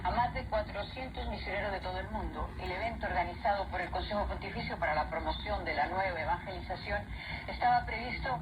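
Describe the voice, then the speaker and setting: A woman's voice: mid-range voice, racing, pauses often, dull audio. A woman reading scripted news as a radio presenter, heard through a shortwave radio. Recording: crackly shortwave broadcast with background babble.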